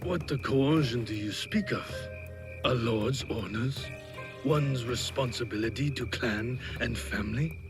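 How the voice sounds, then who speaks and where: An actor playing a weary, stern older samurai lord in a video game, speaking formally and probingly. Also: male voice, mid-range voice, lightly acted. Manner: formal, measured, questioning. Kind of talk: video game cutscene acting. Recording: loud music behind the voice.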